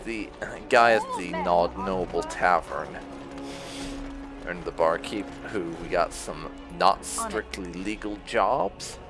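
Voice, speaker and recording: A man's voice: deep voice, lively intonation, slow, music in the background. A man giving casual playthrough commentary, rambling a little as he thinks aloud. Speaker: gaming video host.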